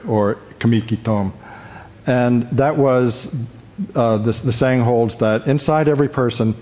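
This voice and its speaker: Halting male panelist in an echoey hall. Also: mid-range voice, a little mellow. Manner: halting, hesitant. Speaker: journalist, panel speaker. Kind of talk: unscripted panel talk.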